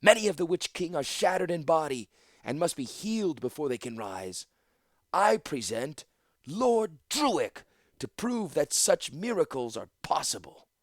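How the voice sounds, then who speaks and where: Fantasy audiobook warlord: commanding, defiant woman played by a man, high-pitched, loud oratory. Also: sing-song, a little slow, no room sound, clean studio sound, full character voice. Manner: declamatory, forceful oratory. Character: fierce commanding female warlord, zealous and defiant.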